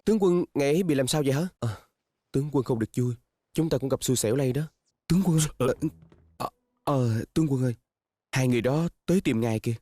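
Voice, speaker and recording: A man's voice: medium pitch, fast talker, warm tone, faint music behind, lightly acted. A man playing a deferential young subordinate in a dubbed fantasy costume drama, stammering and hesitant as he speaks. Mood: nervous deference.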